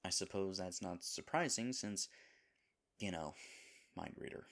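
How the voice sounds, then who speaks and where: A man playing a sweet, dim himbo boyfriend in an audio roleplay, talking in a halting, rambling, flustered and earnest way. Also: low voice, a bit bright. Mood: apologetic, uneasy. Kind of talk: audio roleplay acting, intimate one-sided dialogue.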